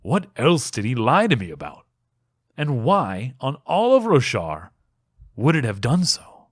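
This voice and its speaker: A man voicing a proud, suspicious king in a fantasy audiobook, intense, hushed and strained. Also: mid-range voice, wildly swooping pitch, unhurried, dry recording, clean studio sound. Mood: bitter, suspicious. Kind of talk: dramatic fantasy audiobook reading.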